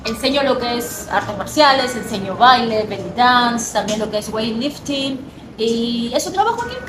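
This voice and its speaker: Fast-talking high-voiced Peruvian woman. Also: roomy sound, noisy background. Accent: Peruvian Spanish. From Peru. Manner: fast, animated, chatty. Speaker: guest, personal trainer. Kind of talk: casual interview.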